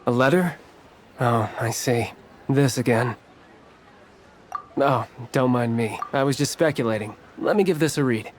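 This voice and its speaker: Male actor as a polite, formal game merchant, mid-pitched. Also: unhurried, pauses often, slight background noise, lightly acted. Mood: musing. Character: courteous middle-aged male merchant guild clerk. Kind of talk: video game voice acting.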